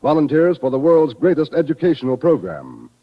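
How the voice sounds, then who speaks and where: High, animated American radio announcer. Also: male voice, a little fast. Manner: emphatic, polished. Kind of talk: 1950s radio announcing, recruitment pitch. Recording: dull vintage radio recording.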